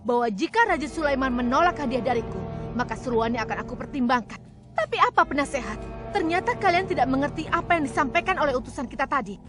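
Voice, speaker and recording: A woman playing a proud, commanding and shrewd queen in an animated religious story, speaking in a formal, theatrical way. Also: sits high, fast talker. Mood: indignant, firm. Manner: imperious, formal, theatrical delivery. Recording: loud music under the voice.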